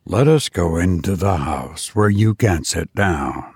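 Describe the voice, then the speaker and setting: A man voicing robot teachers that calmly and flatly insist people go inside and sit down. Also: deep voice, measured pace, breathy edge, no echo, clean studio sound, voice pushed down, heavily acted. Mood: insistent. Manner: flat, insistent, unbending. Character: cold calm robot teachers, sexless machines.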